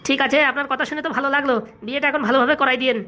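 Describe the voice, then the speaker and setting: A woman's voice: sits high, fairly even intonation, rapid-fire, sharp tone. A woman voicing a gullible, wealthy male politician in a comic cartoon, grumbling familiarly in a rural Bengali accent. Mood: questioning.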